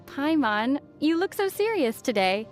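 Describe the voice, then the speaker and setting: A woman playing a young female adventurer in a video game, gentle and fondly teasing. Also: high-pitched, big pitch swings, a little slow, faint music behind. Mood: friendly. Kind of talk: video game character dialogue.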